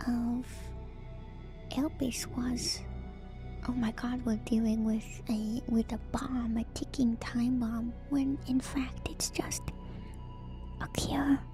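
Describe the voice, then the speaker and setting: A woman streamer whispering softly and breathily in casual ASMR commentary, musing and trailing off between phrases. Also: high voice. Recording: music playing behind the voice. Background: music.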